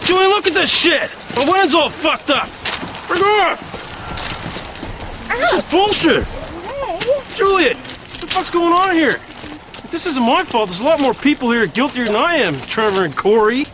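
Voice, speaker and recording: An actor playing a hot-headed, foul-mouthed trailer park man who yells and swears constantly. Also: mid-range voice, brisk, background noise, natural voice. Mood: raging. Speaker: trailer park resident in a mockumentary. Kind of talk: mockumentary acting.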